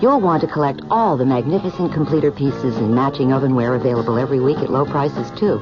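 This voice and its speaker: A woman's voice: very deep voice, quick pace. A woman announcer giving a scripted advertising read for radio. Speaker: commercial announcer. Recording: muffled old radio commercial. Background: music.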